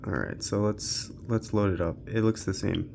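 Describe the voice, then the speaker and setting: A man with a somewhat deep voice full of vocal fry gives a casual, unscripted gaming walkthrough. He thinks aloud informally as he goes. There is noticeable noise behind his voice. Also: normal speed. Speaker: tech reviewer hosting a handheld gaming channel. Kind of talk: unscripted casual walkthrough commentary while testing games.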